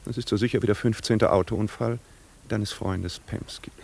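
An actor playing an urbane, icily polite husband with a gravelly, husky voice and dry, ironic manner, in 1950s German radio drama style. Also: male voice, lowish voice, fairly even intonation, moderate pace, a little mellow. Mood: sardonic, cool.

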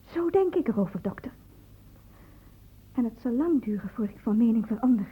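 A woman's voice: a little high, lively intonation, normal speed, lightly acted. A woman playing an anxious, guarded and loyal young student in a Dutch radio drama. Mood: guarded, defiant. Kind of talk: Dutch radio play acting. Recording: muffled, phone-like old radio recording.

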